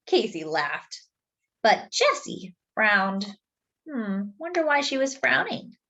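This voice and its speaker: A woman reading a picture book aloud to young children, expressive and deliberate, drawing out key words. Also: fairly high pitch, dull audio. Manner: deliberate, with drawn-out emphasis for young listeners. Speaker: children's storyteller.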